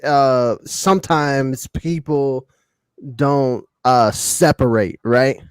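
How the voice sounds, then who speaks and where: A man's voice: medium pitch, steady tone, drawn-out words, clean tone, no echo. A man on a podcast panel explaining his view in casual slang, often checking in with "right?".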